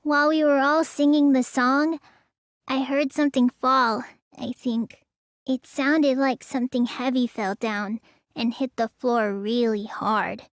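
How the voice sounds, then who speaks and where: A woman's voice: high-pitched, steady tone, measured pace, dry recording, clean studio sound. A woman voicing a petite, stubborn teenage girl magician in an English anime game, with a languid, childlike, slightly pouty delivery. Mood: puzzled, thoughtful.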